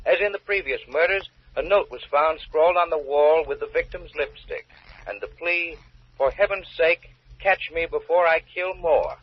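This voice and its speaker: Actor as a muffled old-time radio newsreader. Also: male voice, fairly high pitch, moderate pace, lightly acted. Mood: grave, urgent. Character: radio newsreader reporting grisly killings. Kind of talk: 1940s radio acting. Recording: dull old radio recording.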